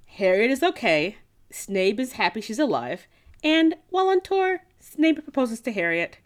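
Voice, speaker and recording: A woman co-hosting a podcast in casual, playful, slangy chat, as if recapping a book for friends. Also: on the high side. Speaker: podcast co-host.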